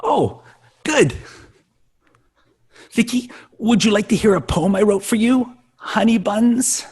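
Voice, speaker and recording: A performer playing a clingy, lovesick, nerdy young boy with a husky voice and melodramatic delivery in a sitcom table read. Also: mid-range voice, slow pace, darkish tone. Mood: smitten, nervous. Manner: melodramatic, swooping between pleading and wailing.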